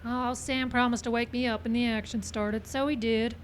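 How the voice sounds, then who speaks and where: A woman's voice: a little high, flat delivery, moderate pace, slight background noise, lightly acted. A woman playing a wry, independent frontier woman in a radio western, dry and teasing. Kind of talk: old-time radio western acting.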